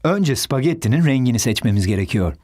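A man's voice: mid-range voice, lively intonation, fast, a little mellow, close to an everyday voice. A man voicing a friendly, patient, playful father in a children's cartoon.

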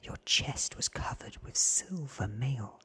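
Young male storybook character, read by a man: earnest, awed, slow hushed ASMR whisper, very breathy. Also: medium pitch, lively intonation, heavily acted. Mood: awed, eerie. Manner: hushed, drawn-out, earnest telling.

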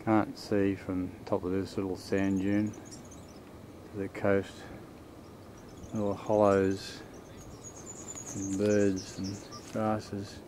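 A man's voice: sits low, monotone. An older man, casually narrating a home video while filming on a windy beach. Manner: slow, matter-of-fact remarks while filming. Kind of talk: casual travel commentary. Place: outdoors on windy beach and dunes. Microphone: camcorder mic. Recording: windy outdoor camcorder audio.

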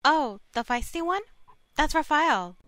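A woman's voice: high-pitched, lively intonation, a little slow, sharp tone, no echo, full character voice. A woman playing a friendly, inquisitive young lab worker in a comic dub. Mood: fond. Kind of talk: amateur comic dub acting.

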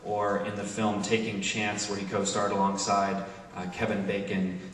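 A man presenting a cast to an audience in a halting way, with frequent pauses. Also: on the low side, monotone, fairly bright tone. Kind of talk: spoken introductions to an audience. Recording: echoey hall, distant microphone.

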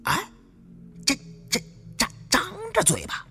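A man voicing a flustered, curious male tomb raider with a halting stammer in an audiobook. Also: bright, forceful tone. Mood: startled.

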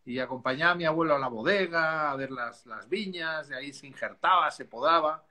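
Spanish-accented male winemaker, 50s, bright and a touch high, easygoing storyteller on a video call. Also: fairly quick. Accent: Spanish, softened by years in Chile. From Spain. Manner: chatty, rambling, laughs easily. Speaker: winemaker guest. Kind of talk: casual video call interview. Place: dark room at home. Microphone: video call, laptop camera.

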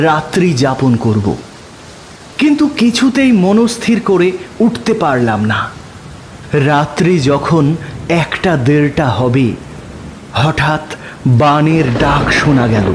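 A man narrating a literary story in the first person, slow and measured, with his pitch dropping low at the ends of phrases. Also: noisy background. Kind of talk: scripted audiobook narration.

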